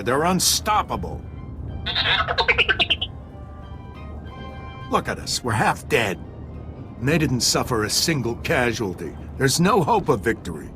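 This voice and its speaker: An actor voicing a battle-weary male army sergeant with clipped military delivery in a sci-fi video game. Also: mid-range voice.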